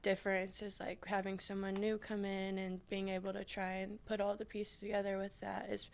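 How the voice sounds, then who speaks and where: A woman's voice: mid-range voice, completely flat delivery, moderate pace, gravelly, slight background noise. A young woman hosting a casual sports interview, chatty and filling her sentences with "like" and "you know.". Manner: chatty, many fillers like "like".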